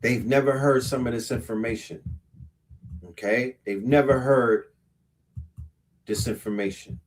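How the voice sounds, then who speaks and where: A man's voice: mid-range voice, fairly even intonation, unhurried, slight background noise, rough recording. Emphatic male commentator, repeating his points. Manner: emphatic, preachy, repeats himself. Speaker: show host commenting on a clip.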